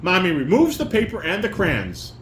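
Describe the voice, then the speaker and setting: A fairly high-voiced man acts as a dad coaching a scene, reading out directions and correcting as he goes. He speaks in an instructive, informal way, recorded in an echoey room with some noise. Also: wildly swooping pitch, normal speed, a bit bright. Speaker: father directing a family table read.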